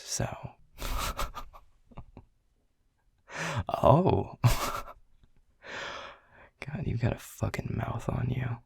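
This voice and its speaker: A man playing a world-weary, grumpy male middle manager who talks in a hushed, breathy, sardonic way and swears casually in an audio roleplay. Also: on the low side, no room sound, studio quality, full character voice. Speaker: boss.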